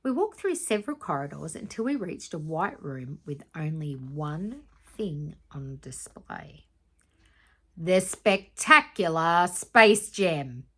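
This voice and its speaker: A woman reads a children's storybook aloud like a primary teacher. Her voice sits slightly low and swings widely in pitch, with dramatic emphasis and little asides to her young listeners. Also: big pitch swings, takes it slowly, fairly bright tone, husky. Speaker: teacher reading aloud to primary school students.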